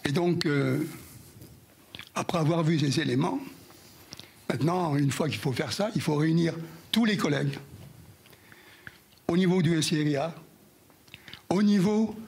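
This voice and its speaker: A hoarse older man giving a speech to an audience, halting and repeating words as he recalls past events. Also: fairly high pitch. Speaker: former union president giving an anniversary speech.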